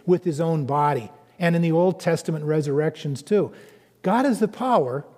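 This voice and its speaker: A man preaching a sermon as a pastor, animated and earnest, with chatty asides to the congregation. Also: on the high side, long reverb tail.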